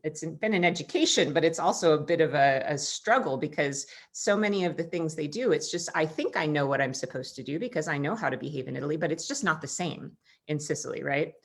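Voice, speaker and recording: A woman with a notably low voice hosts a friendly book discussion. She talks casually and switches into reading short quotes aloud from the novel. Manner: lively, reads passages aloud. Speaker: book club co-host.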